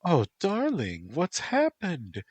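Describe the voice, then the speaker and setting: A man's voice: on the high side, wildly swooping pitch, slow, breathy edge, no echo, pitched above the performer's own voice, exaggerated voice. A man doing a sing-song doting girlfriend. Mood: concerned. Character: sweet, doting young woman, falsely gentle.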